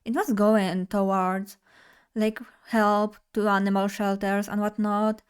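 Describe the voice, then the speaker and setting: A woman's voice: medium pitch, flat delivery, takes it slowly, clear, resonant tone. Bubbly young woman streamer. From Poland. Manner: bubbly, chatty, addresses chat directly. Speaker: vtuber streamer. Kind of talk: casual live stream chat.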